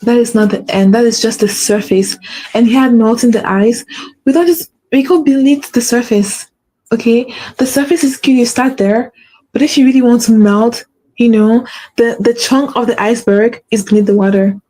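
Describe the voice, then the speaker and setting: A woman's voice: a little high, steady tone, moderate pace, warm tone, low-quality recording. A woman with a stutter hosting a faith-based motivational talk, repeating her key phrases for emphasis. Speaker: podcast host.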